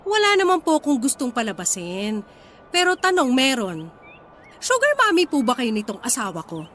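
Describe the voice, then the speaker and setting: A young Filipina wife with a high, animated voice. She is polite on the surface and needling underneath, in radio drama style with a Filipino accent. There is faint noise behind her. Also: moderate pace, heavily acted. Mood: accusing. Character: resentful young wife and mother, sarcastically sweet then confrontational.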